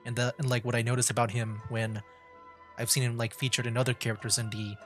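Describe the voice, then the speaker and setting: A man's voice: medium pitch, little pitch movement, fast, fairly bright tone, soft background music. A man giving casual, rambling commentary on a game livestream, often repeating himself as he chats. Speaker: livestreaming VTuber gamer.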